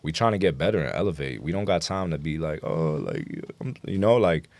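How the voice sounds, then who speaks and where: A man's voice: extremely low pitch, animated, brisk, vocal fry, a little mellow. A man with a New York accent chatting casually as an interview guest, telling stories emphatically and with lots of slang. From New York City. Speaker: guest rapper.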